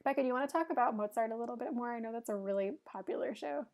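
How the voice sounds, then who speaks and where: A woman co-hosting a podcast in relaxed casual chat, with frequent "um"s. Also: fairly high pitch, very fast. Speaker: podcast co-host.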